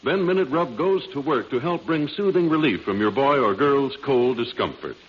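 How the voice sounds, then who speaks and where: Animated American man announcing on vintage radio. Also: medium pitch, moderate pace, husky. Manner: polished, emphatic sales delivery. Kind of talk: 1940s scripted radio announcing and advertising. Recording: muffled vintage radio recording.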